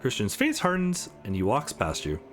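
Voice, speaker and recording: A man's voice: mid-range voice, huge pitch swings, normal speed, soft background music. A man reading a visual novel aloud as a let's play host, dropping in casual asides between the lines.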